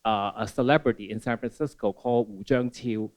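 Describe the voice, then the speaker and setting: A man's voice: lowish voice, a little fast, darkish tone. A man who grew up in Hong Kong, an audience member asking a long, digressive question full of "you know". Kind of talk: Q&A question from the floor.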